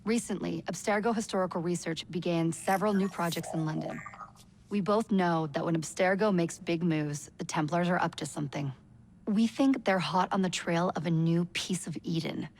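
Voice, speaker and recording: A woman voicing a brisk, commanding leader of a covert team in a video game, with measured, serious delivery. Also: lowish voice, a little mellow, slight background noise, lightly acted. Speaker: mission controller briefing a recruit. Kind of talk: scripted video game briefing.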